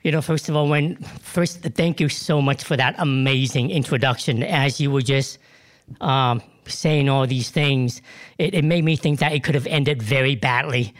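A man's voice: medium pitch, steady tone, moderate pace. A man in his fifties from Vietnam, an actor answering interview questions in an emotional, hesitant way with many restarts. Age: 50s. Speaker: guest actor.

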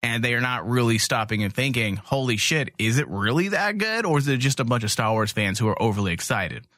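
A man's voice: medium pitch, animated, fairly quick, pushed and bright, no echo, studio quality. A man co-hosting a fan podcast in casual chat, emphatic and joking in the way he talks. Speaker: podcast co-host.

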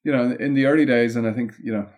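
A man with an Irish accent explaining things in a casual interview, in a discursive, halting way with plenty of "like" and "you know". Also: mid-range voice, fairly even intonation, a little mellow. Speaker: interview guest, content marketing consultant.

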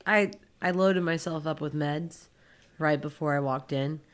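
A woman co-hosting a casual podcast chat, with a nasal voice that is stuffed up from a head cold, reacting quickly and skeptically. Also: deep voice. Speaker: podcast co-host.

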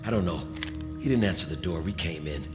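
Frightened captive deputy. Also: male voice, low-pitched, animated, quick pace, music in the background. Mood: shaken. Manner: panicked, pleading. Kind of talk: radio drama acting.

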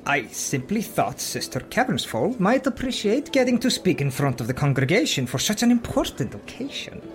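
A man's voice: high-pitched, very animated, moderate pace. Jittery, swooping man playing an old dwarf priest. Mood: nervous. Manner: faltering, nervous. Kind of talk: acted fan fiction audio drama.

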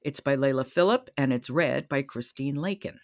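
A woman's voice: rumbling low, moderate pace, darkish tone. A woman hosting a podcast, chatting casually about books. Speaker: podcast host. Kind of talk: casual conversational book discussion.

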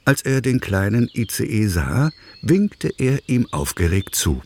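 A man narrating a scripted children's story as an expressive storyteller. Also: on the low side, a little slow, a little hiss or hum. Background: music.

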